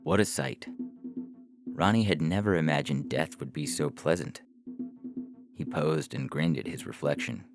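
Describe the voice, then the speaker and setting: Very deep, gravelly man narrating fiction. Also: a little slow, long pauses, music in the background. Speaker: podcast narrator reading science fiction stories. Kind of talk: scripted story narration.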